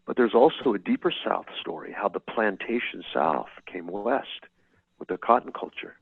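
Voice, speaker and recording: A man's voice: on the low side, expressive, moderate pace, vocal fry, husky. A middle-aged man from California, an author answering interview questions thoughtfully, often repeating words and restarting his sentences. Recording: dry tinny video-call audio.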